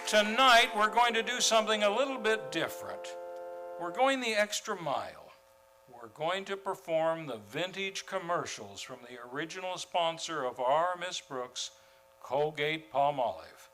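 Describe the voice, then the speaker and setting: A man's voice: sits high, expressive, normal speed, rough recording. A man hosting in a theatrical, grandly formal old-time radio announcer style. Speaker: series host and announcer. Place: theater stage, before a live audience.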